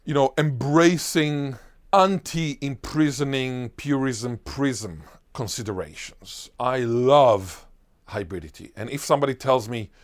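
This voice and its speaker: A man, a Hebrew speaker, answering as a linguist guest in an interview, emphatic and animated, full of lists and rhetorical questions. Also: mid-range voice, slow pace. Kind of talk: enthusiastic explanatory interview answer.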